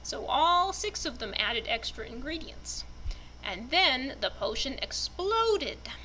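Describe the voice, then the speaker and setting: A woman's voice: high-pitched, very animated, slow, sharp tone, slight background noise, low-quality recording. A woman speaking expressively and with enthusiasm as a fan narrator, in the manner of a dramatic reader. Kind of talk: dramatic reading and casual fan commentary.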